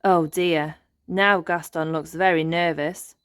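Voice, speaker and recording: A woman voicing a curious, excitable young boy elf in a storybook reading, with an eager, questioning manner. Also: lowish voice, slow pace, clear, resonant tone, dry recording, clean studio sound. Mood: hesitant.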